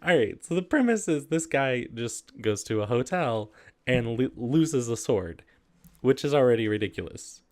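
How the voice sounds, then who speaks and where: Man, medium pitch, casual video host, giggly, amused reader of comic letters. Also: lively intonation, moderate pace. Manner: giggly, often breaking into laughter while reading. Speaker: video host reading humorous letters aloud. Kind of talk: casual chat and amused book reading.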